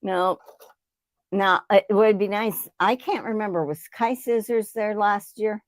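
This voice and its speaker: A woman's voice: medium pitch, steady tone, a little slow, no echo. Folksy middle-aged woman, dry sound. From Ohio, United States. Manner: folksy, chatty, laughs easily. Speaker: guest. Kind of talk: casual chat.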